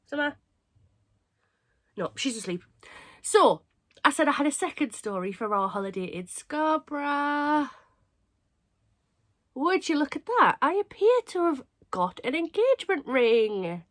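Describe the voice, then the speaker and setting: A young woman from England with a cold, sounding hoarse and stuffy, chatting casually and rambling to camera as a craft channel host. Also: sits high, very animated, a little slow, long pauses. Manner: chatty, rambling, self-mocking.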